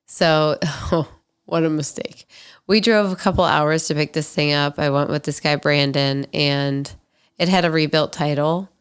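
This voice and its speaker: Stuffy-nosed woman with a low, smooth voice. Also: steady tone, unhurried. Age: 20s. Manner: candid, chatty, frequent ums and likes. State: nasal, stuffy from allergies. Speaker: podcast host. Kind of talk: casual solo storytelling.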